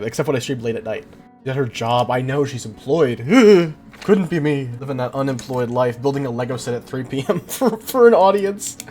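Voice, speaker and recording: A man's voice: mid-range voice, darkish tone. A young adult man livestreaming in a casual, slangy, profane and self-deprecating way while he chats with viewers. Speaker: livestreamer, host.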